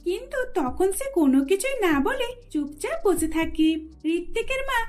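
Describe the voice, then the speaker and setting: A woman's voice: very high-pitched, sing-song, moderate pace, a little mellow. A woman narrating a scripted cartoon story as a storyteller.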